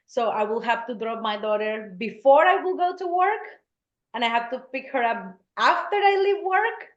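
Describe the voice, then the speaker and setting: A woman from Colombia, speaking English, tells her story as a guest on a podcast. Her voice sits fairly high, and she laughs easily. The recording is roomy and slightly muffled. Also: animated, moderate pace. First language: Spanish. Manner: laughs easily, earnest and chatty. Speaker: guest, real estate agent. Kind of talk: casual interview talk. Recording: echoey, dull-sounding room.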